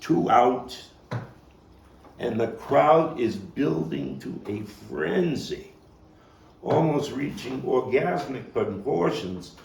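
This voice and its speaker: A man performing a theatrical stage monologue as a streetwise, nostalgic Brooklyn baseball fan, telling it with deliberate pauses and big swings in volume. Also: medium pitch, lively intonation, creaky, darkish tone. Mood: dramatic storytelling. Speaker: actor and playwright performing his own monologue. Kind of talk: dramatic stage monologue at a table read. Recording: roomy, distant mic with faint noise.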